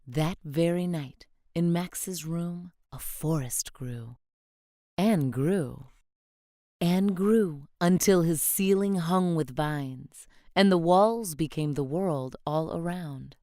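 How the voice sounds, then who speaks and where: Slow, gentle storybook reader, woman. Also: low voice, dry recording, clean studio sound. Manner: expressive, gentle, rhythmic. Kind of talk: read-aloud children's storybook narration.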